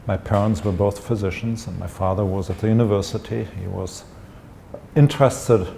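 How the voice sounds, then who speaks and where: An older man from Germany with a German accent, describing his upbringing in a measured interview. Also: lowish voice, creaky, mellow, a little hiss or hum. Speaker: interviewee, scientist. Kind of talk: reflective interview answers.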